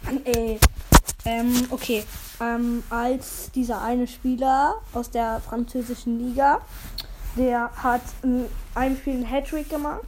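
Hoarse high-voiced woman, rambling chat. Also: fairly even intonation, unhurried, darkish tone, a little hiss or hum, rough recording. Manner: rambling, filler-heavy, chatty. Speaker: podcast co-host. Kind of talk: casual chat.

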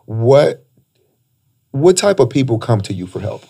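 A man's voice: medium pitch, big pitch swings, a little slow, gravelly, warm tone, husky. Podcast host, man, easygoing, teasing, slangy questions. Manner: joking, teasing, loose slang. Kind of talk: casual podcast interview.

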